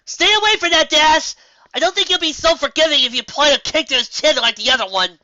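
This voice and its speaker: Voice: sits high, a little fast, bright, forceful tone, no echo, dull audio, pitched above the performer's own voice. A performer voicing an earnest, bossy female unicorn who gives precise, scolding instructions. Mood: warning.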